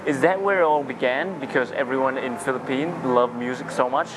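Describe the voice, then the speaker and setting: A man's voice: medium pitch, a little fast. Man asking long interview questions. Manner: long strings of questions and steady backchannels. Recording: noisy with vehicle hum.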